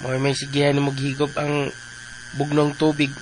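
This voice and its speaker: A man's voice: fairly high pitch, completely flat delivery, moderate pace, slight background noise, close to an everyday voice, low-quality recording. An actor playing a fussy, devoted male servant with a Filipino accent in a radio drama. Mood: deferential, fussy.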